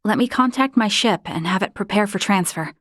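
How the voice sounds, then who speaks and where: A woman's voice: medium pitch, a little fast, a bit bright, no room sound, clean studio sound, close to an everyday voice. A woman voicing an earnest, principled young female first officer of a starship in a video game, with formal, precise diction. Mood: composed, formal.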